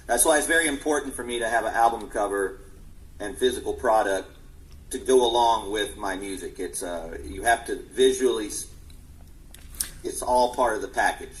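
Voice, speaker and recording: Musician guest, male, forties: earnest, low-pitched, restarts his sentences a lot. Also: rough recording. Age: 40s. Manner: earnest, halting with many restarts. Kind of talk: casual interview answers.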